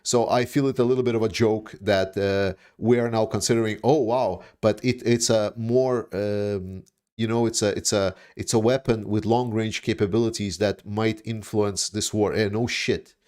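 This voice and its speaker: Halting, blunt man hosting a livestream. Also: on the low side, fairly even intonation, darkish tone. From Denmark. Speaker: livestream host. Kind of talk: casual livestream commentary answering viewer questions.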